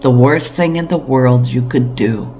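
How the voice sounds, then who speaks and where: A woman talking casually to camera about practical living as a homesteading vlogger, encouraging and emphatic, with deliberate pauses. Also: extremely low pitch, warm tone, faint music behind. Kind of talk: casual preparedness talk to camera.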